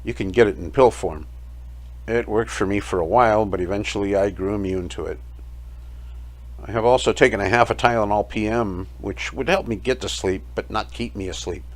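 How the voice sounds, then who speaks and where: A man playing a weary, sleepless night-shift guard, telling his story as a measured dramatic monologue in an audio play. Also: fairly low pitch, natural voice. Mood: weary, confiding. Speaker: narrator of a short audio play. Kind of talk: scripted first-person dramatic monologue.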